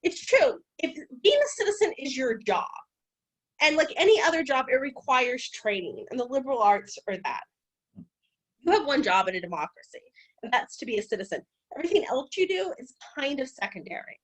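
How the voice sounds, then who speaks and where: A woman giving a conversational public lecture on ancient history, animated and direct, with occasional restarts. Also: high voice, a little fast, husky, rough recording. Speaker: guest lecturer.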